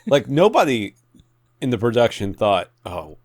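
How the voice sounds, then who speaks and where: A man's voice: mid-range voice, sing-song, a little slow, no room sound, clean studio sound. A man co-hosting a podcast, chatting casually and conversationally about what he has watched. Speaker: podcast co-host. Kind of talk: casual conversational film chat.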